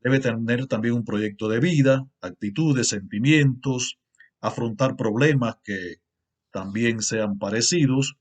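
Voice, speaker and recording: A man with a Cuban accent giving a formal academic conference talk, measured and deliberate, pausing often with hesitation sounds. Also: mid-range voice. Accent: Cuban Spanish. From Cuba. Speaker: university lecturer and researcher.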